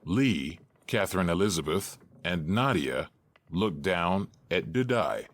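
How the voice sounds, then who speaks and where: A man acting as the narrator of an audio drama, reading scripted stage directions deliberately. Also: low-pitched, animated, exaggerated voice. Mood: neutral.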